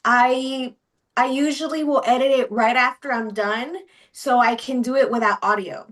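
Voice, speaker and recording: Brief: woman from Texas, high voice, easygoing podcast co-host. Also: fairly even intonation, normal speed, a bit bright. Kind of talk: casual podcast chat.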